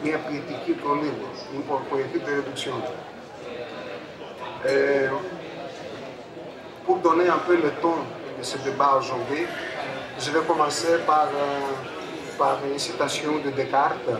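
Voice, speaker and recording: A man's voice: a little high, steady tone, a loud crowd. Greek-accented man, distant in an echoey crowded hall. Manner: halting, repeats phrases. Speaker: photographer and panel speaker. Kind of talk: public talk at a book fair, introducing a debate. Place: busy book fair stand.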